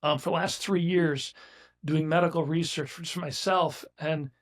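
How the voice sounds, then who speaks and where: A man's voice: a little high, fairly even intonation, moderate pace. A man in his sixties, a business owner talking as a guest in a casual interview, blunt and emphatic, often restarting his sentences. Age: 60s.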